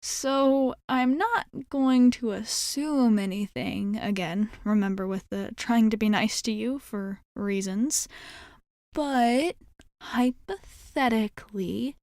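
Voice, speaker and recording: A woman's voice: a little high, a little mellow, no echo, studio quality. A woman playing a nervous, blunt, sporty schoolgirl team captain in an ASMR roleplay, rambling and backtracking as she pleads with the listener. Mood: coaxing, pleading. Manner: rambling, halting, backtracking mid-thought.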